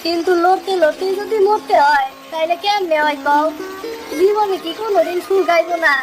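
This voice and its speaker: A woman's voice: very high voice, normal speed, music in the background. A woman voicing a poor, bitter young fisherman with an East Bengali accent, complaining in a plaintive way. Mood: despairing.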